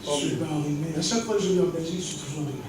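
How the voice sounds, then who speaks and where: A man preaching a sermon in short phrases, pausing after each for his interpreter. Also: fairly high pitch, a loud crowd. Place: large church hall. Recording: echoey hall from far off, with noise.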